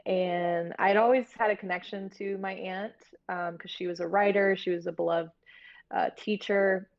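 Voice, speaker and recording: An American woman from Florida talking casually and candidly as an interview guest, in a halting storytelling way. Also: mid-range voice, fairly even intonation. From Florida, United States. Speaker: guest, horror series producer. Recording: dull, lo-fi audio.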